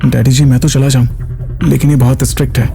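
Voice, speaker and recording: ऑडियो ड्रामा में एक आदमी घबराए, दब्बू, लाचार और झिझकते युवा घर जमाई का किरदार निभा रहा है।. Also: male voice, medium pitch, flat delivery, quick pace, creaky, warm tone, natural voice. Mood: helpless, anxious. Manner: hesitant, apologetic, helpless. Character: meek, anxious, browbeaten young live-in son-in-law. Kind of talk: audio drama acting.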